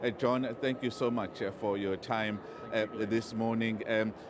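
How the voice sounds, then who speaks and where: A male business reporter interviewing a guest live, halting often with many fillers. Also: lowish voice, fairly even intonation. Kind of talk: live outdoor news interview. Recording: street noise behind.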